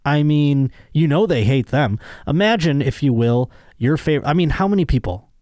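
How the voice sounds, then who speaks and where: A man's voice: on the high side, animated, normal speed, a little mellow, dry recording, studio quality. A man with an American accent hosting a comedy podcast, reading out news and riffing on it in a casual, sardonic, profane way. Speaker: podcast host.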